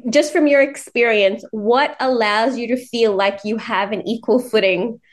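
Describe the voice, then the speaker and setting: Woman podcast host, kinda high voice, chatty n effusive, telling stories like a casual chat. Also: normal speed. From born in Sri Lanka, moved to Australia at eighteen. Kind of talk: conversational interview, anecdotal chat.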